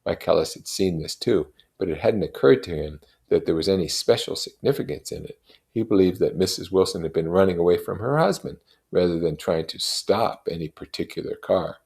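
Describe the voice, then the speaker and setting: Male audiobook-style narrator for a classic novel; low register, creaky edge, expressive phrasing. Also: a little fast. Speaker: reader. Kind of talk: expressive literary narration read aloud.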